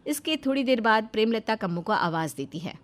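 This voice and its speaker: High, lively woman storyteller. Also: brisk. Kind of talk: scripted narration of a moral story.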